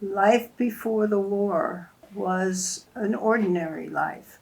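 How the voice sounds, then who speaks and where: Gravelly old woman, slow. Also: medium pitch. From France. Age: 80s. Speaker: interviewee. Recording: distant mic.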